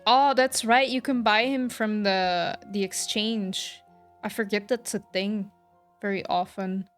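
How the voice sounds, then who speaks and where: A woman live-streaming a game in an informal, chatty way. She takes her time as she responds to viewers, speaking at a medium pitch. Soft music plays behind her voice. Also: fairly even intonation, a little slow. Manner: chatty, informal, reacting to chat comments. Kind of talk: casual livestream chat with viewers.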